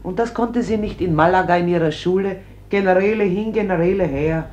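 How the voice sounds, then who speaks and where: A woman's voice: fairly low pitch, fairly quick, darkish tone, highs cut off, natural voice. An actress playing a defiant, embittered middle-aged mother in a radio drama, argumentative and emphatic. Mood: firm, resisting. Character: defiant, embittered mother refusing her sons to war. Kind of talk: German stage-like radio drama acting. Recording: noisy old radio drama recording.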